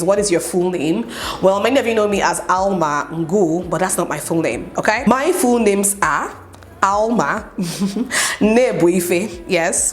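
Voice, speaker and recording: A young Nigerian woman with a Nigerian accent, chatting casually as she answers viewer questions in a home vlog and often adds "okay?". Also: on the low side. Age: young adult. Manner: chatty, emphatic, keeps adding "okay?". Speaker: vlogger. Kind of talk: get-to-know-me Q&A vlog.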